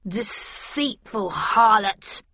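A woman voicing a furious, scornful Victorian gentleman yelling accusations in an audiobook. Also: medium pitch, expressive, extremely slow, breathy edge, dry recording, dull audio, exaggerated voice.

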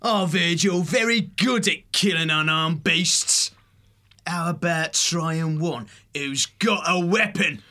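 A man voicing a defiant, cunning sea rat corsair captain in a storybook, hard-edged and creaky, with taunting pirate speech. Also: fairly high pitch, takes it slowly, bright, forceful tone, voice pushed up.

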